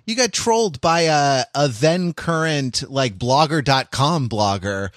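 A man hosting a casual, joking podcast, rambling along with halting starts and self-corrections. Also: a little high, expressive, a bit bright, no room sound, clean studio sound. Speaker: podcast host.

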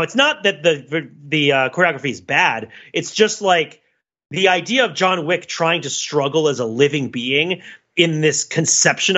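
A man's voice: a little high, pushed and bright, dull audio. A man co-hosting a podcast, talking through a film in a casual, analytical, rambling intellectual way. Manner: rambling, digressive, intellectual musing. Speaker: podcast co-host.

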